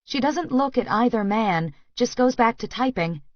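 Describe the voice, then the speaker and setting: A woman's voice: a little high, lively intonation, moderate pace, no room sound, muffled recording. A woman reading first-person fiction as a scripted audiobook narration.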